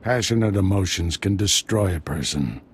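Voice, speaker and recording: An actor playing a seasoned, commanding Jedi master in a video game, solemn and authoritative. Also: male voice, sits low, lively intonation, normal speed, slight background noise. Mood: solemn warning. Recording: loud music behind.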